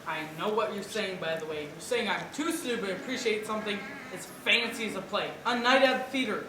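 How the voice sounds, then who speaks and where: A man's voice: high voice, fairly quick, lightly acted. Sullen, slangy boyfriend type played by a young man in a stage play, defensive and blunt, picked up from far off with noise. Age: teenager or young adult. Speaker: school play actor. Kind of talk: theatrical stage acting. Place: large school auditorium stage. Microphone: far camera. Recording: distant camera, echoey auditorium, clear noise.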